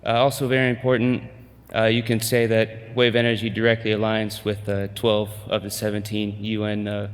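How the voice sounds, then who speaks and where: A man in middle age giving a conference pitch, hesitant with many fillers and restarts. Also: monotone, moderate pace, big-room sound. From United States. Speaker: company presenter.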